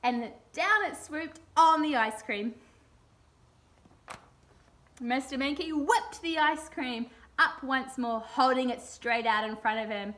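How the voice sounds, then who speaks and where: A woman's voice: high-pitched, takes it slowly, sharp tone. A woman reading a children's picture book aloud expressively.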